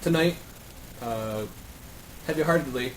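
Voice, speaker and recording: A man's voice: mid-range voice, measured pace. A man hosting a casual group podcast chat, recorded in an echoey room with background noise. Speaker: podcast host.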